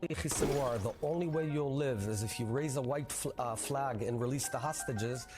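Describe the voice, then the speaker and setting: A man answering questions in a televised interview, measured and hesitant, with many fillers. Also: medium pitch, music in the background.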